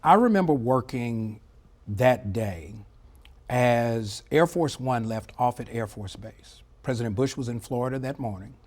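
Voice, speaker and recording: A middle-aged man with a mid-range voice gives expert commentary in a TV interview. He is articulate and measured, leaning on key words for emphasis and checking that the listener follows. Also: mid-range voice, animated, a little mellow. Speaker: former air traffic controller, interview guest. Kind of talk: live TV news interview, explanatory commentary.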